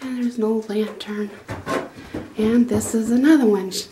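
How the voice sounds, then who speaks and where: A woman's voice: on the high side, steady tone, moderate pace, noisy background. A middle-aged woman, giving a rambling, homespun tour of her small wooden goat barn with lots of fillers and restarts. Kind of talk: casual walkthrough narration. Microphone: handheld phone camera.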